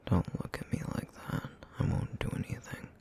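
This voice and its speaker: A man voicing a brooding, guilt-ridden, vampire-like essence eater in an ASMR roleplay drama, terse and bitter, with a breathy, gravelly near-whisper. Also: normal speed, a little mellow, lightly acted. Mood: brooding, guarded menace.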